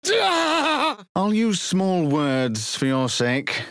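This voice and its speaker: A man's voice: sits high, huge pitch swings, drawn-out words, a bit bright, dry recording, studio quality, exaggerated voice. A man voicing a pompous, scornful sorcerer-scholar obsessed with death in a video game, with a haughty, theatrical, condescending delivery. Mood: smug, scornful. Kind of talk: video game character voice lines.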